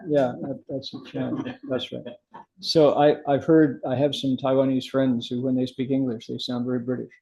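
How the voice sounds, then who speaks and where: A man's voice: mid-range voice, little pitch movement, normal speed, creaky, warm tone, dull audio. An older man leading a meditation class in casual, chatty discussion, often restarting his sentences and affirming students.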